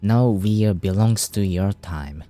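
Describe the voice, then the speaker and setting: Guy streaming a game, reads and translates the text out loud, slow and unhurried, faint music under him. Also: fairly low pitch. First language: Japanese. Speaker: gaming streamer. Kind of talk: casual game playthrough commentary.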